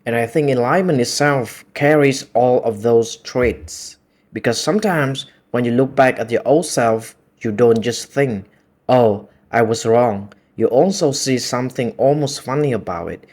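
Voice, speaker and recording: A man with a Vietnamese accent whose native language is Vietnamese, talking in a calm, measured, reflective monologue. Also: medium pitch. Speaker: video essay host.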